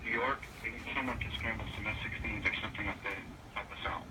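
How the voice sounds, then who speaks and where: A man's voice: on the low side, steady tone, quick pace. A man speaking as an air traffic controller on an operational phone call. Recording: distant, very noisy radio phone line.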